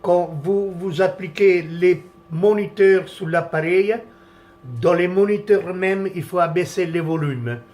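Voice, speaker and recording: A man whose first language is Italian walks through a camera monitor setup at an unhurried, halting pace. His voice sits a little high for a man. He stops and restarts often and searches for words as he goes. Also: a little mellow. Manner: hesitant, halting, many fillers and restarts. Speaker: vlogger reviewing camera gear. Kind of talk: casual product tutorial.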